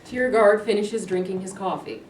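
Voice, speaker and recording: A woman reading stage directions aloud at a staged play reading, plain and measured. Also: medium pitch, steady tone, a little mellow. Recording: echoey room, distant mic, background noise.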